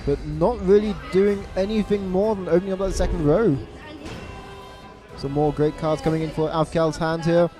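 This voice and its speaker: High-voiced male esports caster. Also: fairly even intonation, music in the background. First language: English. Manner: quick self-corrections, stressed repeated words. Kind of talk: live game commentary. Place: event venue abroad.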